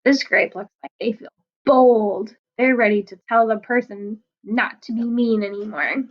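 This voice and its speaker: Slow, gentle teacher reading to kids. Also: female voice, a little high, muffled recording. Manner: gentle, explains words and asks children questions. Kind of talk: picture book read-aloud for children.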